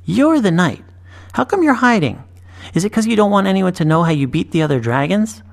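A bold little village girl with a raised, high voice, flatly stating what she sees. She sounds blunt and matter-of-fact, as a man narrating puts her on. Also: brisk, creaky.

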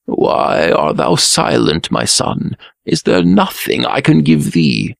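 A man's voice: mid-range voice, huge pitch swings, takes it slowly, creaky, dry recording, studio quality, pitched above the performer's own voice, heavily acted. A man voicing a solemn, fatherly fairy-tale king in formal, archaic speech. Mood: concerned.

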